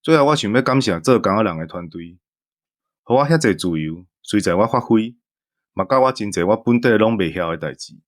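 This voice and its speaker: A man's voice: lowish voice, lively intonation, fairly quick. A man with a Taiwanese accent, an amateur voice actor, giving a casual farewell talk to listeners. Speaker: amateur voice actor on an audio drama team.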